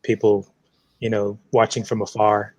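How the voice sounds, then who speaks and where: A man co-hosting a casual panel chat, speaking in halting, broken phrases. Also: on the low side, little pitch movement, a little mellow. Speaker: co-host on a geek culture panel show.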